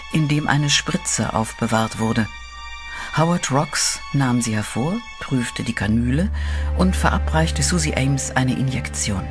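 Man narrating a horror radio play. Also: medium pitch. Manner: measured, dramatic storytelling. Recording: music bed under voice.

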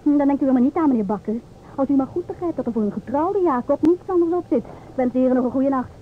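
An actress playing a combative, know-it-all young stewardess in an old radio play, with an emphatic, theatrical delivery. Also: female voice, high-pitched, fast talker, close to an everyday voice. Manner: emphatic, self-assured, theatrical. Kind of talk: old-time Dutch radio play acting. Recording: old radio recording, narrow tinny band, hiss.

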